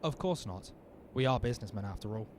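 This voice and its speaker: A man playing a grave necromancer businessman in an audio drama, formal, measured and deferential. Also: fairly low pitch, lively intonation, a little mellow, slight background noise, close to an everyday voice. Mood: formal, businesslike. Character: smooth, grave necromancer businessman, politely ominous.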